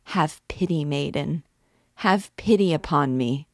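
A woman's voice: low voice, measured pace, a little mellow, no echo, studio quality. A woman reading an anguished male priest who pleads desperately for love in a storybook reading. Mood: tormented.

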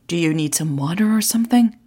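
A woman's voice: on the low side, animated, fast talker, mellow, slightly rough. A woman voicing a concerned, helpful man in an audio story, speaking politely and solicitously. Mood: worried, polite. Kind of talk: audio story character dialogue.

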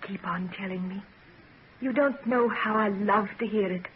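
An actress playing a loyal, loving young fiancée in a 1940s radio drama, with an earnest, emotional delivery. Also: female voice, mid-range voice, normal speed, close to an everyday voice. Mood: tender, devoted. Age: young adult. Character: loyal, loving young woman engaged to be married. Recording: dull, noisy 1940s radio recording.

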